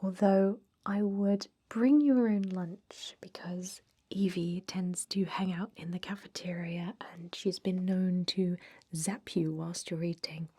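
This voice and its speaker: Woman as a softly whispering, chatty vampire interviewer. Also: fairly low pitch, fairly even intonation, slow. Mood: friendly, cautioning. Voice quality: soft, breathy whisper. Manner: gentle, chatty, encouraging. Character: friendly, chatty 250-year-old female vampire interviewing a recruit. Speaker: job interviewer. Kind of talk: whispered ASMR roleplay.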